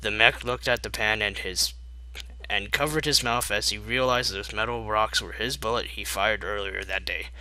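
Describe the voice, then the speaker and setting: A man's voice: fairly low pitch, fairly even intonation, normal speed, pushed and bright, rough recording. A man narrating fan fiction as an amateur reader, breaking off for wry asides. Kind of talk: animated amateur story narration.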